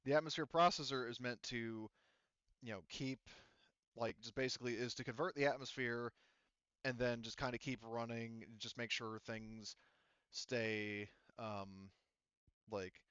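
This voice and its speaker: A man's voice: mid-range voice, steady tone, unhurried, a bit bright, clean tone, no room sound, lo-fi sound. A man running a tabletop game as game master, talking in a rambling, casual way with lots of fillers and the odd swear word. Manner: rambling, filler-heavy, profane.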